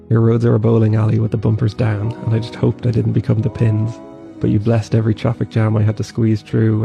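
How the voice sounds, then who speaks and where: Gravelly, flat-voiced male travel narrator. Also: fairly low pitch. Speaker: travel vlogger. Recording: dull lo-fi voice-over with faint music.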